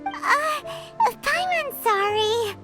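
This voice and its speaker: Casting: excitable pixie-like game mascot, piercingly high squeak, childlike and blunt, loud music underneath. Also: female voice, extremely slow, full character voice. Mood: cheeky. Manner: blunt, talks of self in third person. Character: tiny childlike flying female companion, cheeky and excitable. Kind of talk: video game character acting. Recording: music behind the voice.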